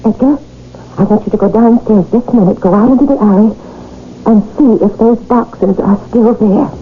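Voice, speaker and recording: An actress playing a bossy, suspicious shopkeeper's wife in a 1970s radio drama, insistent and accusing. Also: female voice, on the high side, moderate pace, over music, lightly acted. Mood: urgent, bossy. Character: suspicious, sharp-eyed London shopkeeper's wife, bossy and indignant. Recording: tinny, narrow-band old radio broadcast.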